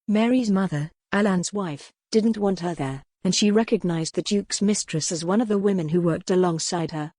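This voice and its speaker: A woman's voice: fairly low pitch, moderate pace, few pauses, mellow, dry recording. Woman narrating fiction, dry sound. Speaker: audiobook narrator. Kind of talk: scripted dramatic story narration.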